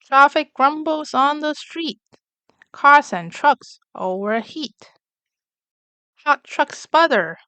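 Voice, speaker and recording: A woman reading a rhyming picture book aloud for young children, in an expressive, clearly enunciated storytelling manner. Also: high-pitched, slow, long pauses, dry recording. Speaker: children's story reader, channel host.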